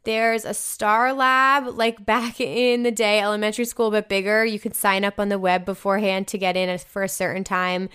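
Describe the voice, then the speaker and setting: Young woman, New Jersey accent, smooth clear voice, casual podcast host, effusive, slangy, mid pitch. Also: little pitch movement, moderate pace, few pauses, a bit bright. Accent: New Jersey American. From New Jersey, United States. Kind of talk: casual chat, reading listener emails and reacting.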